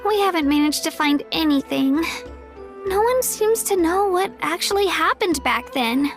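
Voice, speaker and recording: High-voiced, earnest young girl. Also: lively intonation, normal speed. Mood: disappointed, hopeful. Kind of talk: video game voice acting. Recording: background music under the voice.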